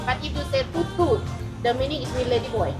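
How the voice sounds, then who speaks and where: A woman with a Thai accent guides a food tour, describing each dish in simple, friendly English. Her voice sits high. Loud music plays in the background. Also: fast. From Thailand. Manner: chatty, explains dishes in simple English. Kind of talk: guiding explanation.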